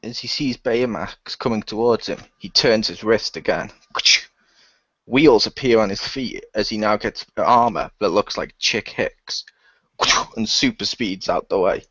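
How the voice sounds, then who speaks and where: A man's voice: lowish voice, a little slow, fairly bright tone, husky, no echo. A man narrating action in an improvised, amateur radio play and slipping into character lines. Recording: dull lo-fi voice recording.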